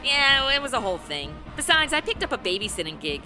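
A woman's voice: sits high, big pitch swings, fast, over music. A woman acting a sardonic, irritable, hard-drinking publicist in a comic radio play, with slangy, sarcastic delivery. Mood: wry, jaded.

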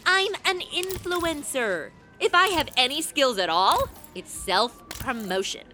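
A woman's voice: high voice, sing-song, takes it slowly, sharp tone, faint music behind, full character voice. A woman playing a brash, self-promoting publicist in a comic radio play, breezy and sardonic. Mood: smug.

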